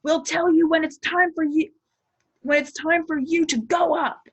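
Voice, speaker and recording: A woman's voice: high-pitched, fairly even intonation, moderate pace, dull audio, voice pushed up. A woman reading a storybook aloud as a stern, rule-bound male ship steward, officious and formally polite. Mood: alarmed, commanding. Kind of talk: storybook read-aloud character.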